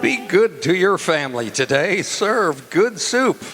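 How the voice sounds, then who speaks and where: A male announcer with a slightly high voice delivers scripted old-time radio copy. He narrates mystery and sponsor lines in a presentational style. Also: big pitch swings, a little slow, over loud music.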